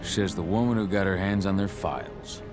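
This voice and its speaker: A man plays a battle-hardened werewolf father in a game cutscene. His voice is extremely deep and gravelly, calm and reassuring in delivery. Music plays clearly behind him. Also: moderate pace, natural voice. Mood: steady, reassuring. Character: gruff adult male werewolf warrior, steady protective father. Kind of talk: video game cutscene acting.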